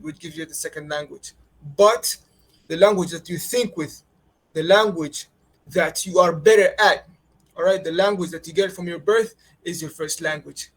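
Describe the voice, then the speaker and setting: A man's voice: on the high side, low-quality recording. A young man from Qatar answering interview questions, explaining step by step with many hesitations. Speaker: interviewee, student.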